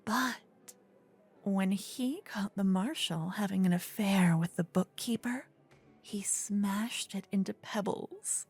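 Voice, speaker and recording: A woman playing a flirtatious, witty royal tailor in a scripted fantasy ASMR roleplay, breathy and often whispering, with a teasing, refined, gossipy manner, close to a binaural microphone. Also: mid-range voice, takes it slowly, darkish tone, faint music behind. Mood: gossipy, playful.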